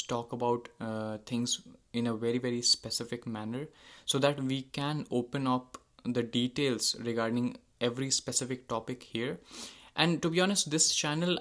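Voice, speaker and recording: A young man whose first language is Hindi talks through his thoughts in an unscripted monologue. His pitch sits in the ordinary middle range for a man. He hesitates often, restarting sentences and searching for words. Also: a bit bright. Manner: hesitant, many fillers and self-corrections. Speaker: channel host.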